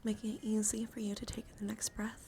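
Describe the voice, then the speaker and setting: A woman livestreamer giving a guided ASMR relaxation in a gentle, soothing, encouraging manner. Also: medium pitch, flat delivery, quick pace, a little hiss or hum. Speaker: livestream host.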